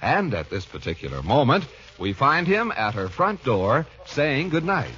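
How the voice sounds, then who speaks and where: Bright, forceful American radio announcer man. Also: medium pitch, huge pitch swings, moderate pace. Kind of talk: 1940s radio announcing, scripted narration. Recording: muffled vintage radio recording. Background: music.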